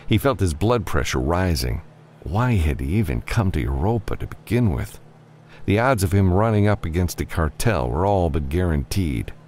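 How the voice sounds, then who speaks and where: A man's voice: low-pitched, sing-song, moderate pace, darkish tone, slight background noise. A man reading a novel aloud as an audiobook narrator, in a dramatic scripted storytelling style.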